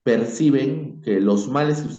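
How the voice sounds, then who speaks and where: A man with a Peruvian Spanish accent giving his opinion informally, reflective and halting, leaning emphatically on key words. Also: mid-range voice, mellow. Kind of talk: informal spoken opinion on history. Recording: dull, echoey room recording.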